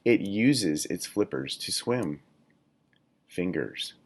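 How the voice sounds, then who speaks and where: Slow, deep-voiced man reading aloud. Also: expressive. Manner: deliberate, instructional, poses questions to listeners. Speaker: read-aloud reader, teacher. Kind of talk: children's nonfiction read-aloud.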